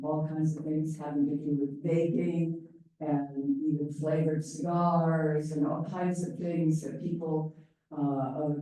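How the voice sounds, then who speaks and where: Loud older woman in echoey hall. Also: low-pitched. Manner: chatty, self-deprecating, wandering asides. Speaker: volunteer presenter on ballot issues. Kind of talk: informal public briefing. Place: large meeting room. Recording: very echoey room, voice from far off.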